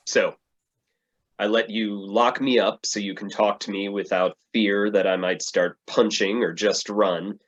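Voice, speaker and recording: An actor playing a boastful, captive male superhero in a staged play reading, with a pompous, halting delivery. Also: fairly low pitch, fairly even intonation, highs cut off. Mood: wary.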